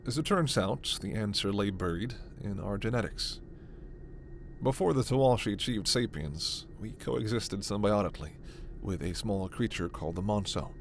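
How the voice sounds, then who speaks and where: A man playing a gentle, scholarly, protective alien doctor in a sci-fi ASMR roleplay, speaking in a measured, formal, precise way. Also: lowish voice, expressive, gravelly, slight background noise. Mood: explanatory, earnest.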